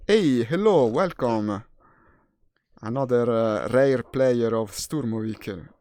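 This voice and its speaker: A man's voice: medium pitch, lively intonation, takes it slowly, darkish tone, no room sound, clean studio sound. A man from Italy with an Italian accent, a streamer chatting casually and thinking aloud while playing. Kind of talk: casual gaming chat.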